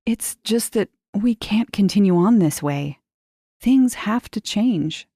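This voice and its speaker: A woman reader plays a wistful, gentle young woman in an audio short story. Her voice lifts higher than the narration, soft and deliberate, with tender resolve in a dry recording. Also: expressive, no room sound. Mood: gently resolved.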